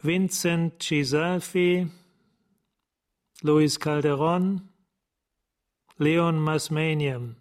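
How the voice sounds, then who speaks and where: Slow-talking, hard-nosed cop. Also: male voice, on the high side, fairly even intonation. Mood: curt, businesslike. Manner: deliberate, curt, threatening. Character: hard, menacing male police sergeant leaning on informants. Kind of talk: German radio crime drama acting.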